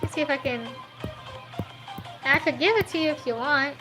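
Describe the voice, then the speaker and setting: A woman's voice: sits high, normal speed, over music. A woman, not a native English speaker, chatting casually on a livestream while she draws and answers chat, laughing often. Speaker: streaming artist.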